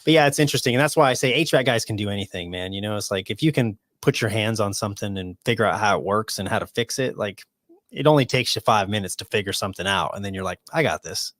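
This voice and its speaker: A man in his early forties talking casually as a podcast guest, relaxed, often saying "man" and "dude". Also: on the low side, fast, no echo, studio quality. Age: 40s. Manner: laid-back, says "man" and "dude" a lot. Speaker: podcast guest, awards show founder.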